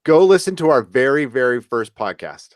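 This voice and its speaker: A man's voice: medium pitch, sing-song, normal speed, no echo, clean studio sound. A man hosting a live podcast in casual chat, rambling and joking, with some swearing. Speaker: podcast host.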